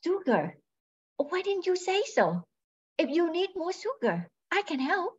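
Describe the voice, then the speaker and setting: A woman's voice: very high voice, sing-song, measured pace, lo-fi sound, full character voice. A woman playing a plucky, polite young expectant mother seeking work in an old-time radio drama, earnest and formal. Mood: conspiratorial, pleading. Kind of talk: 1940s radio drama acting.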